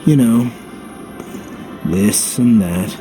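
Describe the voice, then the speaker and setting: An actor playing a blunt, stoic but loyal young hero in a video game, speaking in terse, understated phrases. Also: male voice, medium pitch, very slow, music in the background, low-quality recording. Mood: calm, assured. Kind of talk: dramatic video game voice acting.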